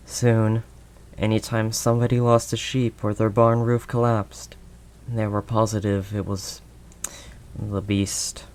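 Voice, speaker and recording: A man playing a protective older male werewolf loner, gentle and conversational, in an intimate audio roleplay. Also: on the low side, flat delivery, measured pace, close to an everyday voice. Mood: matter-of-fact. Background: rain ambience sound effects.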